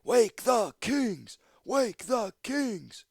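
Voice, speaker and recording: Man voicing a fierce female warlord, pitched high. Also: big pitch swings, drawn-out words, gravelly, no echo, studio quality, heavily acted. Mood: rallying. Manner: declamatory, forceful oratory. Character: fierce commanding female warlord, zealous and defiant.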